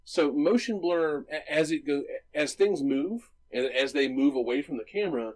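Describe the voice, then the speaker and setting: A man's voice: fairly high pitch, normal speed, a little mellow. A man co-hosting a movie podcast, explaining film visuals enthusiastically in casual chat, with frequent restarts and tag questions. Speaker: podcast co-host.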